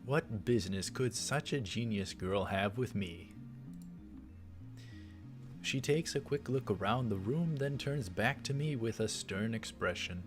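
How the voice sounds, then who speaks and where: A man's voice: fairly low pitch, animated, measured pace. A man doing a game playthrough, reading the story text aloud and adding casual asides. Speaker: let's play host. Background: game music.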